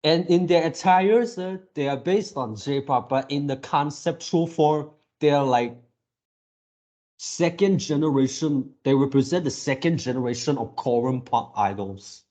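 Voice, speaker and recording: A man giving casual fan commentary as a video creator, halting as he repeats words and searches for phrasing. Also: on the high side, darkish tone. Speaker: video creator reacting to a game series.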